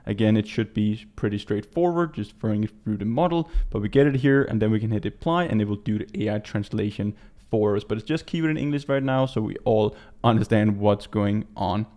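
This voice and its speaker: A man in his twenties from Denmark with a Danish accent, giving a casual software walkthrough as a native Danish speaker. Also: lowish voice. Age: 20s. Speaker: tutorial presenter. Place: small indoor room.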